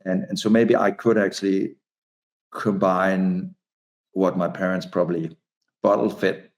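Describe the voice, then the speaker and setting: A man's voice: low voice, little pitch movement, measured pace. A man in his forties from Denmark with a Danish accent, a guest author speaking in an interview, often hesitating and repeating words as he thinks. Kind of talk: conversational interview.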